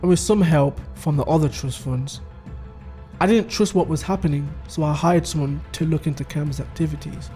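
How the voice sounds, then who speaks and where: Man acting a middle-aged father, slightly high pitch, scheming, conversational storyteller, audio drama dialogue, faint music underneath. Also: normal speed, dark tone, close to an everyday voice. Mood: grave, confiding. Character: scheming middle-aged father recounting his wife's crimes.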